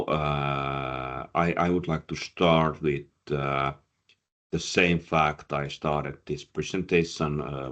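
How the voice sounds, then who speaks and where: A man's voice: very deep voice, lo-fi sound. A man coaching job seekers, answering audience questions with many fillers and repeated words, a Finnish speaker talking in English. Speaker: career coach and presenter.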